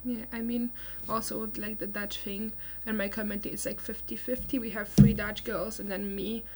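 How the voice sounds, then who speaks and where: A woman's voice: medium pitch, level tone, normal speed, darkish tone, slight background noise. A young woman chatting casually as a student panelist. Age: young adult. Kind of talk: casual chat.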